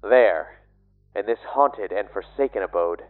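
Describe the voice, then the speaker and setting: Horror audio drama: male actor as ominous storyteller, low and slow, theatrical, narrow tinny sound. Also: lively intonation, faint music behind. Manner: theatrical, foreboding. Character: ominous, solemn horror narrator introducing a haunted house. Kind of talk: scripted horror trailer narration. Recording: thin phone-like band.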